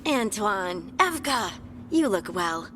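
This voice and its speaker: An actress playing an earnest, friendly scout companion in a fantasy video game. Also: female voice, mid-range voice, very animated, slow, fairly bright tone, slight background noise.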